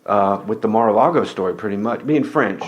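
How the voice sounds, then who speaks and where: A man from Tennessee, hosting a casual podcast chat, animated and often laughing. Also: fairly low pitch, fairly quick, poor audio. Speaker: podcast host.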